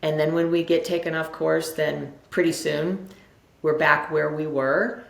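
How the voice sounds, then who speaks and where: A woman's voice: low-pitched, steady tone, unhurried, creaky. A woman speaking as an interview guest and coach, explaining in a conversational motivational style and repeating phrases for emphasis. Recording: roomy, echoing sound.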